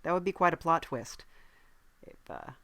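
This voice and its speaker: Low-pitched woman hosting a casual podcast. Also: lively intonation, moderate pace, fairly bright tone, no echo. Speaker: podcast host. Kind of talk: casual comedic interview.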